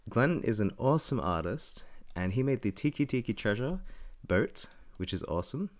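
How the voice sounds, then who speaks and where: A young man, a little raspy from being sick, walking through a software tutorial in a casual, self-correcting way. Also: mid-range voice, sing-song, normal speed. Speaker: tutorial host. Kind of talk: screen-recorded software tutorial.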